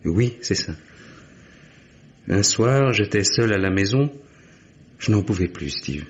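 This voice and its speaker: Mari las, voix grave et sourde. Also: male voice, lowish voice, lively intonation, slow. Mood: weary, confiding. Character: weary troubled husband confiding to a friend. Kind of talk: old-time French radio mystery acting. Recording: dull vintage radio recording.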